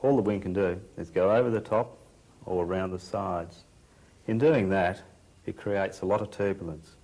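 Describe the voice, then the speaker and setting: A male presenter with a deep voice gives an instructional demonstration. He explains each point in simple, plain-spoken terms. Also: normal speed, a little mellow. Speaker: expert presenter.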